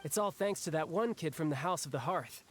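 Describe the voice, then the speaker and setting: Breezy, higher-pitched young warrior. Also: male voice, lively intonation, fairly quick, a bit bright, a little hiss or hum. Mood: wry. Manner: casual, boyish, wry. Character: breezy, cocky young warrior man, restless and battle-hungry. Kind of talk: anime video game voice acting.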